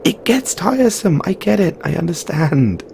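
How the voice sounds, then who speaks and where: A man's voice: sits high, very animated, normal speed, creaky, darkish tone, a little hiss or hum, heavily acted. A man playing a frank, caring Christmas elf in an audio roleplay, earnest and informal, chiding yet reassuring. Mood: coaxing. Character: frank, caring male Christmas elf, weary but supportive. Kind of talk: second-person audio roleplay monologue.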